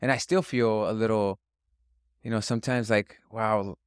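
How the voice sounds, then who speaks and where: A man from Northern California speaking as a chef in a casual interview, in an earnest, reflective and halting way. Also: lowish voice, fairly even intonation, clear, resonant tone, no room sound, studio quality. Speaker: chef and restaurant guest.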